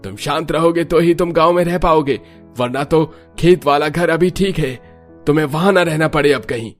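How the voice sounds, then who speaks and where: A man playing an indignant, defiant villager in a cartoon story, forceful and declamatory. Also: on the high side, normal speed, soft background music, close to an everyday voice. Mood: defiant, angry.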